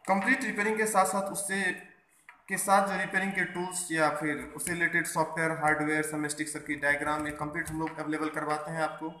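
A man with a high-pitched voice walks learners through a hands-on repair job. He explains each step, dropping in English technical terms. The room is echoey, with faint noise behind him. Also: flat delivery, quick pace, sharp tone. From India. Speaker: phone repair instructor. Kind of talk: hands-on tutorial narration. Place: indoors at a repair workbench.

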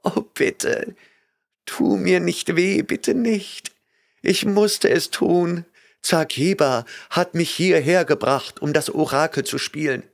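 A trembling, terrified young woman pleads and whimpers in a breathless rush, as a male narrator puts her on. Her voice is pitched well above his own, thin with fear. It comes from a storybook reading. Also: slow pace, creaky. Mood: pleading.

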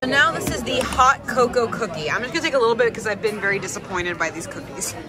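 A woman in her thirties hosting a casual food review vlog, chatty and lively, filmed at arm's length in a busy indoor restaurant. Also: a little high, over music. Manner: chatty, lively, quick asides and laughs. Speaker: food vlogger host. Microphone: phone or camera at arm's length. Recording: noisy crowded restaurant. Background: crowd chatter.

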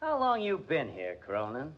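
Gruff high-pitched radio detective. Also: male voice, huge pitch swings, moderate pace. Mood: suspicious, curt. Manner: brusque, slangy tough-guy talk. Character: gruff, bullying, impatient police detective who hates music. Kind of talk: 1940s radio acting. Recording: muffled, hissy 1940s radio recording.

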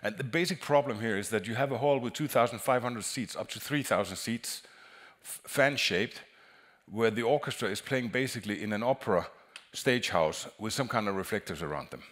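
A man's voice: fairly low pitch, fairly bright tone. Man presenting at a conference. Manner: halting, repeats words as he explains. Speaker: conference speaker, acoustic designer. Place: large conference hall on a stage.

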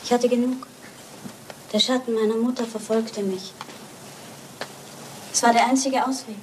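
An actress playing a proud, desperate young wife in a German radio crime drama, speaking in a grave, dramatic way. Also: female voice, fairly high pitch, steady tone, unhurried, darkish tone, a little hiss or hum, close to an everyday voice, rough recording. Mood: despairing.

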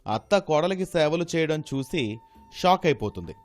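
A man's voice: a little high, lively intonation, normal speed, soft background music. A man narrating a scripted story as a storyteller.

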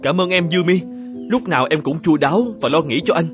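A man voicing an earnest, troubled young male painter and devoted husband in an animated fairy tale. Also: on the high side, fairly quick, over music, natural voice. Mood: tender.

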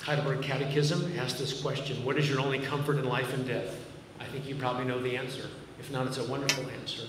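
A man's voice: medium pitch, little pitch movement, fast. A man in his fifties with a Massachusetts accent, a pastor preaching earnestly and conversationally with dry self-deprecating humor, speaking from the stage of a large church auditorium. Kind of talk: sermon. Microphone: podium mic, filmed by a far camera. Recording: echoey hall from far off with noise.

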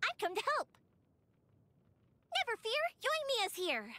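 A woman voicing a cheerful, sentimental young fireworks maker in a video game, with an expressive, chatty delivery. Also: extremely high pitch, a little slow, no echo, full character voice. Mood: bold, excited. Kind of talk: scripted video game character voice lines.

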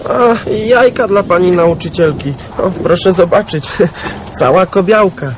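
A young actor playing a polite, hesitant village lad with a sheepish, halting manner in a radio drama. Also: male voice, high voice, noisy background.

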